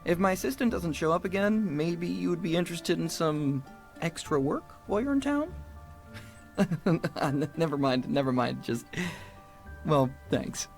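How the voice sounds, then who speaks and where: An actor playing an earnest young puppeteer with an American accent, halting and sincere as he speaks. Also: a little high, lively intonation, creaky, darkish tone, soft background music. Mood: friendly, bashful. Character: earnest young puppeteer, passionate about Czech heritage.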